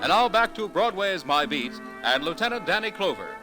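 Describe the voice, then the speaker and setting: A man's voice: high-pitched, very animated, a little fast. A man announcing a scripted old-time radio promo in a wry, polished manner. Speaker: network announcer. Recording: old dry radio recording with music.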